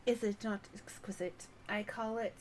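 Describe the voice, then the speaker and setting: A woman's voice: medium pitch, fairly even intonation, moderate pace, a little mellow, pitched above the performer's own voice, lightly acted. A woman reading aloud the game dialogue of a proud, genteel moogle artist, formal and self-satisfied. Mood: proud, pleased.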